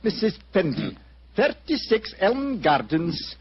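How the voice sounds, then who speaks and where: An actor playing an obliging, fussy, hesitant doctor in a 1940s radio drama. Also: male voice, high-pitched, big pitch swings, slow, slight background noise, exaggerated voice. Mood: helpful, musing. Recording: muffled old radio recording.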